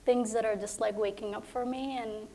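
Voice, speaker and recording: A woman from Somalia, a playwright on a panel, speaking softly and haltingly with many fillers as she reflects. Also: on the high side, steady tone. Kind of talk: reflective personal sharing.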